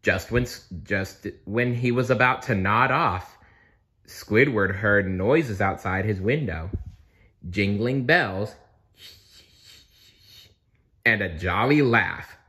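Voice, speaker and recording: A man's voice: lowish voice, slow pace, pushed and bright. A man reading a storybook aloud as narrator, expressively and with playful asides. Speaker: storybook reader.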